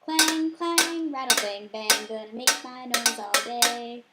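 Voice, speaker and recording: A woman's voice: a little high, takes it slowly, loud music behind the voice. A woman playing a small mischievous boy from a children's story, chanting in sing-song on a noisy home recording. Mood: playful, defiant.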